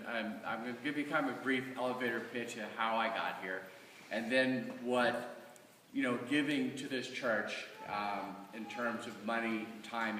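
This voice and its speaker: A middle-aged man with an American accent gives an informal, earnest personal testimony to a congregation in a halting, conversational way. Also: on the low side, sharp tone, a little hiss or hum. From Kansas, United States. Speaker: church member giving a stewardship talk. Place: large reverberant room, speaking from off the mic. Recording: echoey church hall, distant mic.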